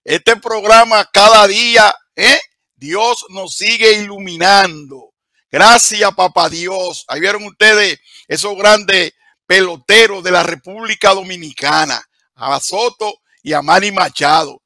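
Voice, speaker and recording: An older man hosts a sports program from a small indoor studio, talking through a headset mic. His voice sits high and bright with a forceful edge. The sound is close and dry, with no room around it. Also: measured pace, no echo. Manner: emphatic, repeats words for effect. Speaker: studio host. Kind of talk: television sports show hosting. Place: studio with home-style set, indoors.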